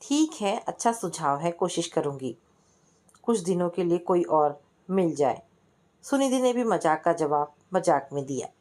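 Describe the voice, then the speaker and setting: A woman's voice: fairly low pitch, animated, a little slow, darkish tone. A woman voicing a young female student in a story, caring and insistent, with a questioning, teasing manner.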